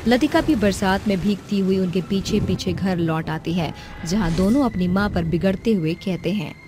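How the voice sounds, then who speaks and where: A woman's voice: mid-range voice, moderate pace, background noise. A woman narrating a dramatic scripted story. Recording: music under the voice.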